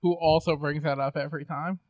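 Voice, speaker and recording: A man's voice: fairly high pitch, level tone, brisk, dry recording. A man in his thirties chatting casually as a podcast co-host. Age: 30s. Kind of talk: casual chat.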